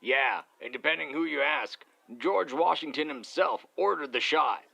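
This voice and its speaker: Man as gravelly, forceful paranormal show host. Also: fairly high pitch, moderate pace, full character voice. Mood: eager, conspiratorial. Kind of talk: podcast host patter.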